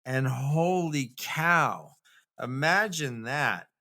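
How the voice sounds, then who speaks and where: A man's voice: mid-range voice, expressive, very slow, bright, forceful tone. A man co-hosting a podcast, talking casually about a book. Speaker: podcast co-host.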